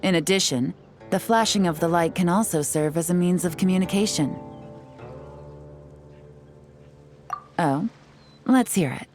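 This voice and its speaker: A composed female spy with a low voice, sly and self-assured, teasing as she talks through her schemes, as a woman plays her. Soft game music sits faintly behind her. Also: moderate pace, natural voice. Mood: explaining. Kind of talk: video game voice acting.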